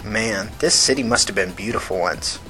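A man's voice: fairly low pitch, moderate pace, sharp tone, faint music behind, rough recording. A man voicing a blunt, hot-tempered young street man with slangy, foul-mouthed talk, in an audiobook reading. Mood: frank, companionable.

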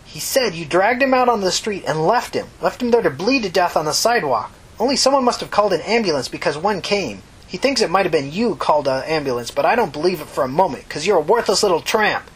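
A woman's voice: on the low side, animated, fast, few pauses, breathy edge, pitched above the performer's own voice, low-quality recording. A woman voicing a venomous, scornful woman who accuses emphatically in an audiobook story. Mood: furious, accusing. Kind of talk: audiobook character dialogue.

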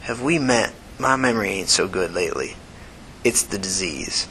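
Guy doing a sick worn-out southern man, soft mumbly and folksy, kinda resigned, audiobook, bit of background hiss. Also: lowish voice, animated, low-quality recording. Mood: weary. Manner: folksy, unhurried. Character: sickly thin weary man, slow and resigned.

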